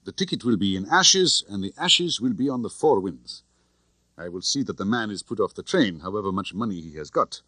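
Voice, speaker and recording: A man's voice: lowish voice, lively intonation, brisk, pushed and bright, no echo. A man voicing a tough, cheerful, confident male spy chief in an audiobook, with expansive, theatrical phrasing. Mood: gleeful, sly.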